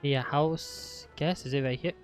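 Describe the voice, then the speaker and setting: A man's voice: a little high, fairly even intonation, measured pace. Slangy man commentating over faint game music. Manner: slangy, offhand, muttering to self. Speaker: gaming video creator. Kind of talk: casual joking playthrough commentary.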